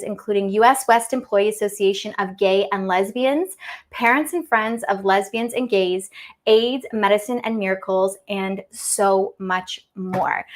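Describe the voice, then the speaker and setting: A woman's voice: on the high side, fairly bright tone. A woman hosting, reading out guest introductions with effusive enthusiasm. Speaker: host.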